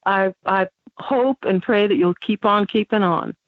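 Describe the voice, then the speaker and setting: A woman's voice: medium pitch, unhurried, no echo. A woman phoning in to a radio show as a caller, chatting casually in an effusive, giggly way. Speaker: phone-in caller. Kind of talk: casual, admiring chat. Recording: tinny narrow phone line.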